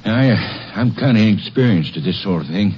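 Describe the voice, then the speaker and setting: An earnest, flustered mill superintendent played by a man in an old radio western. His voice is fairly low and very animated, with hesitant phrasing and a dull, limited sound. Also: a little fast, close to an everyday voice. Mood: worried, flustered. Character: flustered, trusting male lumber mill superintendent. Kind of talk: 1950s radio western acting. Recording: muffled old radio, faint noise.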